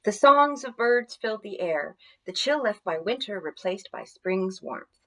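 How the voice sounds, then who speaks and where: A woman storyteller reading scripted narration for children in an expressive, deliberate manner. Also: mid-range voice.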